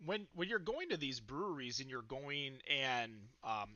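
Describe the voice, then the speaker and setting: Bright-voiced podcast host, rambling questions. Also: male voice, mid-range voice, expressive, a little slow, dry recording, highs cut off. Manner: rambling questions full of fillers. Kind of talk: casual interview.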